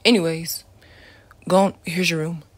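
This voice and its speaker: A woman voicing a calm, blunt teenage boy friend who talks in a flat, dismissive way in an ASMR roleplay. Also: fairly low pitch, normal speed, husky, pitched below the performer's own voice. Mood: dry.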